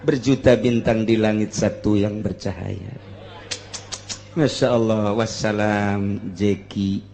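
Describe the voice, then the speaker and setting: A man's voice: lowish voice, fairly even intonation, slow pace, gravelly, darkish tone, slight background noise. A man with a Sundanese accent giving a humorous storytelling sermon as an Islamic preacher, moving between theatrical mock-romantic recitation and colloquial asides. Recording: echoey hall with long reverb.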